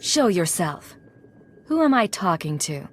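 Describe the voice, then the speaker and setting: A commanding secret agent in a video game. Her mid-pitched voice is curt, controlled and authoritative, with faint noise behind it. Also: big pitch swings, measured pace. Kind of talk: video game character acting.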